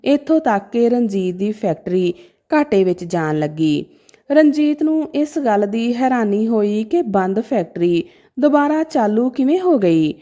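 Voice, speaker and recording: A woman's voice: a little high, big pitch swings, a little slow, dark tone. A woman narrating a scripted story in a dramatic, emotive manner.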